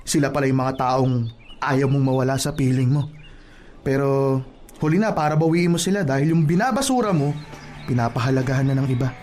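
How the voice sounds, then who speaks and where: An actor playing an earnest, caring plumber who gives admonishing advice in a radio drama. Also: male voice, medium pitch, a little mellow, slight background noise, lightly acted. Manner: admonishing, deliberate advice-giving. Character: earnest, caring plumber, a man scolding a friend. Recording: music behind the voice.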